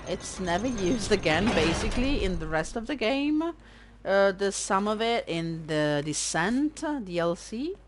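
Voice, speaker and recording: A woman's voice: mid-range voice, big pitch swings, unhurried, a little hiss or hum. A woman from Italy with an Italian accent, a native Italian speaker, chatting and thinking aloud in a self-deprecating way while commentating a video game playthrough. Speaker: let's-play host. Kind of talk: casual gameplay commentary.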